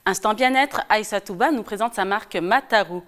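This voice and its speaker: A woman's voice: mid-range voice, brisk, a bit bright, no echo. A woman hosting a TV magazine show, introducing segments and interviewing guests in an upbeat, complimentary way. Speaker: TV show host.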